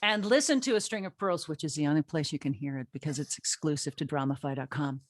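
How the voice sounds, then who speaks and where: A woman's voice: low-pitched, fast, no echo. A woman hosting a casual group interview as a show's writer, joking in a playful, self-deprecating way. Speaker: host and writer of an audio drama.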